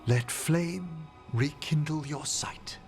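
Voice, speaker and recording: A man's voice: on the high side, slow. A man playing a composed, courtly necromancer in a video game, formal and solemn, with music behind him.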